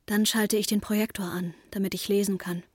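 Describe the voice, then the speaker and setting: A woman's voice: medium pitch, flat delivery, fairly quick, slight background noise, natural voice. An actress playing a restless, introspective woman in her twenties, narrating a radio drama as an intimate inner monologue. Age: 20s.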